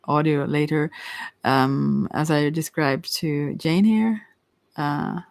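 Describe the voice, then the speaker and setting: A casual tarot reader speaks in a medium-pitched, soft voice that sometimes slips into whispering. The delivery is hesitant and rambling, as if thinking out loud. The sound is dry, with no room echo. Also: steady tone, drawn-out words, vocal fry. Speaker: tarot reader hosting a live reading. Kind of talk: casual intuitive tarot reading, answering listeners' questions.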